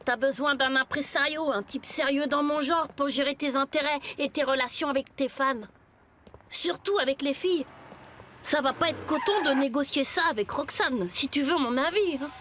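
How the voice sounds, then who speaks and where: Bright, high-pitched excitable cartoon boy. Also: moderate pace, a little hiss or hum. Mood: excited, boastful. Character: bossy, excitable young boy, star's best pal. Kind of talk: French animated series dubbing.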